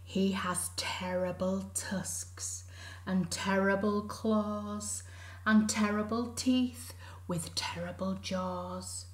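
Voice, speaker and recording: A woman voicing a clever, polite little brown mouse in a hushed, breathy way in a storybook reading. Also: medium pitch, animated, takes it slowly. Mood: spooky. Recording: roomy echo.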